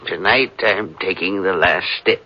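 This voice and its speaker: An actor playing a secretive elderly chemistry professor in 1940s radio acting. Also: male voice, low-pitched, measured pace, background noise. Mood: evasive. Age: older. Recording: dull old radio broadcast.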